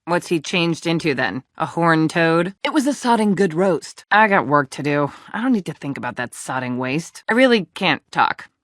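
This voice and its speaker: A woman's voice: low voice, very animated, moderate pace, few pauses, no room sound, clean studio sound. An actress playing a blunt, sarcastic female dwarf who works as a tavern barmaid in a video game. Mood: scornful, irritated. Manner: sardonic, blunt, earthy. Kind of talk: video game character dialogue.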